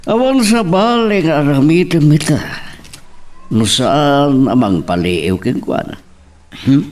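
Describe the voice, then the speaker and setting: An actor plays a concerned older Ilocano father in a Philippine radio-style love drama. He advises and admonishes with very animated, swinging intonation. The voice is picked up from a little distance. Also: fairly high pitch, takes it slowly. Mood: firm, admonishing. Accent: Filipino, Ilocano. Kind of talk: Filipino radio-style romance drama acting.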